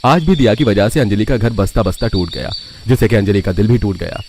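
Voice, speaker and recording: A man's voice: fairly low pitch, fairly quick, a little mellow, a little hiss or hum. A man narrating a scripted cartoon family story.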